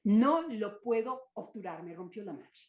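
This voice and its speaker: A woman's voice: mid-range voice, expressive, measured pace, darkish tone, roomy sound. An older woman with a Mexican accent speaking as an academic guest on a discussion panel, rambling and searching for words with many restarts.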